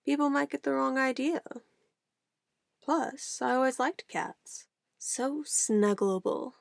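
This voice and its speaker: A woman's voice: high-pitched, slow pace, vocal fry, no room sound, studio quality, heavily acted. A woman playing an obsessive, possessive witch in an ASMR roleplay, sweetly menacing and cooing in an intimate, theatrical way. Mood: playful, giddy. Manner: intimate, cooing, theatrical, shifting between soft whispers and firm commands.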